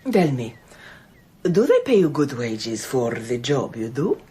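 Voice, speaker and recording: An actress in a radio drama playing an enigmatic, scheming noblewoman who speaks in a deliberate, theatrical way. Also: rumbling low, sing-song, warm tone, breathy edge, heavily acted. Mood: sly, coaxing. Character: enigmatic, fatalistic foreign noblewoman, scheming and brooding.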